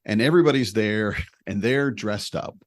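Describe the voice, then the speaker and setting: A man telling a travel story in casual conversation, animated and poking fun at himself. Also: on the low side, normal speed, no echo, clean studio sound. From United States. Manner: animated, self-deprecating, humorous storyteller.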